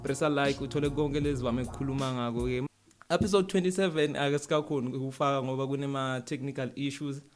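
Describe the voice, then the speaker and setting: A man's voice: medium pitch, fairly even intonation, quick pace, faint music behind. South African man hosting a podcast. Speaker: podcast interview host. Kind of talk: casual interview, code-switching.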